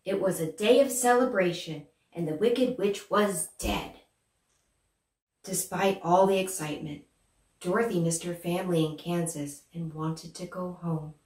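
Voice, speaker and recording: Gracious good witch, acted by a young woman, children's storybook telling, animated, deliberate, echoey small room, distant mic. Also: on the low side, close to an everyday voice. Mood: gentle storytelling. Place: small plain room indoors. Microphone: far camera. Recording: roomy, distant microphone.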